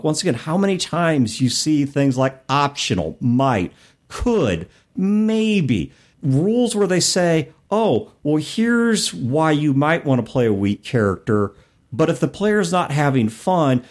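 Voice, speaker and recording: A middle-aged man hosting a podcast, talking conversationally and emphatically, often listing words one by one for effect. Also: a little high. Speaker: podcast host. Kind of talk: conversational commentary on tabletop gaming.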